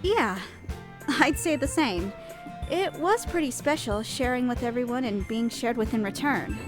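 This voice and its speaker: A woman's voice: a little high, expressive, a little slow, over music. An actress playing an earnest, smitten young schoolgirl heroine, with wistful narration and dialogue in a visual novel audio drama. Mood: hopeful. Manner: bright-hearted, wistful inner narration and dialogue.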